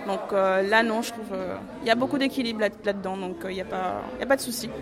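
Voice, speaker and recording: A woman's voice: medium pitch, fast talker, a crowd in the background. A young woman in her twenties, an artist photographer, answering questions in a casual radio interview with many fillers and easy laughs.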